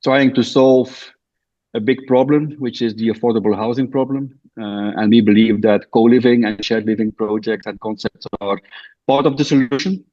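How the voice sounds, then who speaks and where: A man from Belgium speaks as a podcast guest over a remote call, pausing often and circling back on his words. His voice sits at an ordinary pitch, and the audio comes through dull and lo-fi. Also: warm tone. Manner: hesitant, many fillers and restarts. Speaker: guest, co-living founder. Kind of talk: podcast interview, explaining.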